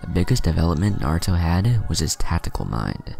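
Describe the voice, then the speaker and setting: A man reading a fan fiction story aloud in a plain, steady way, with the odd slip. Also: sits low, a little fast, vocal fry, faint music behind.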